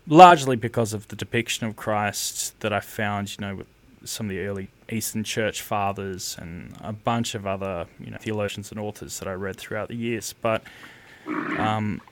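A man's voice: fairly low pitch, normal speed. A man who produces music, speaking as a guest in a casual interview, rambling and hesitant, apologizing for going off topic. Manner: rambling, hesitant, self-deprecating.

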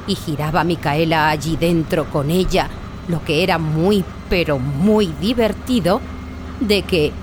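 A woman's voice: lowish voice, noisy background. A woman narrating a children's story in a playful, emphatic scripted style.

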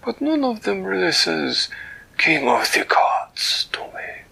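A man voicing an excitable furry male creature king who is fussy about crowns, with high, hesitant, drawn-out exclamations. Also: gravelly, slightly rough, whispered, heavily acted.

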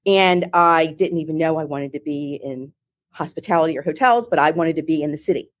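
A middle-aged woman with a Philadelphia accent, telling her career story in an interview in a chatty, animated way with many restarts. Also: deep voice, a little fast. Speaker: marketing executive, guest. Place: home or office in Ohio, remote call.

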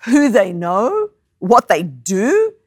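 A woman in her thirties from Australia, whose first language is Greek, preaching a sermon and telling a personal story with animated, self-mocking humor. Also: medium pitch, slow pace, husky, no room sound, studio quality. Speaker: guest preacher.

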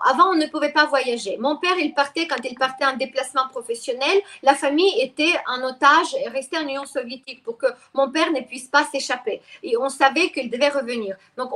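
A woman's voice: high-pitched, bright, forceful tone. A middle-aged woman, talking at length as an interview guest, with many restarts and fillers. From Ukraine. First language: Russian. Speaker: guest expert. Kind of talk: interview conversation.

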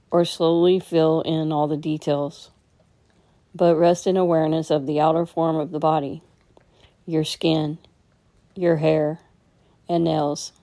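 Female meditation guide: deep register, very even delivery, gentle and unhurried. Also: creaky. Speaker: meditation teacher.

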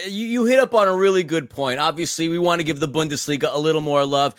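Higher-voiced New man, dry close sound. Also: fairly bright tone, dry recording. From New York City, United States. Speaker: podcast co-host. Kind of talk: upbeat podcast introduction.

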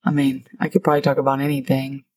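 A woman's voice: a bass voice, completely flat delivery, rough recording. A middle-aged woman co-hosting a podcast with her daughter, explaining a Bible word study in an easygoing, explanatory way. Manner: warm, explanatory, reads definitions slowly. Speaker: podcast co-host, Bible teacher.

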